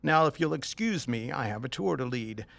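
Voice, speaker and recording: An actor playing a reluctant, fussy, rule-minded tour company boss in an audio drama, with a skeptical, formal manner. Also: male voice, medium pitch, lively intonation, moderate pace, gravelly, fairly bright tone, no echo. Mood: grudging, firm.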